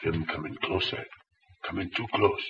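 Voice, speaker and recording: An actor playing a loyal, wary Malayan guerrilla in a 1940s radio serial, speaking clipped pidgin English. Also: male voice, low voice, normal speed, gravelly, distant mic. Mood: tense, wary. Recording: muffled, hissy old radio recording.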